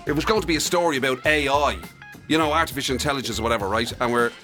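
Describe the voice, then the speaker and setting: Very fast-talking, bright-voiced man. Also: mid-range voice, soft background music. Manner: stammering, repeats words as he starts sentences. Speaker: radio show presenter. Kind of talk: casual banter.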